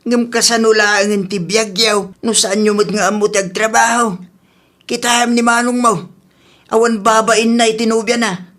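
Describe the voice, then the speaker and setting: A man's voice: high-pitched, level tone, moderate pace, fairly bright tone. A man playing a worried, grumbling older father in a radio drama, questioning in a fretful, plain-spoken way.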